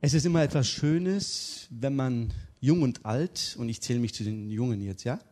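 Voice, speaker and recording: Joking middle-aged preacher from Turkey. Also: male voice, medium pitch, moderate pace. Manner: humorous, lively storytelling. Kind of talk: interview and sermon.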